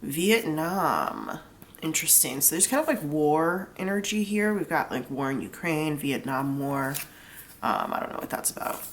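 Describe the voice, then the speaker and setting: Young woman w a low voice and a stuffy cold nose, chatty tarot reading, bit of faint room noise. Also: sing-song, normal speed. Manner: chatty, lots of "like", explains each pull plainly. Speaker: tarot reader. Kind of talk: casual solo tarot reading.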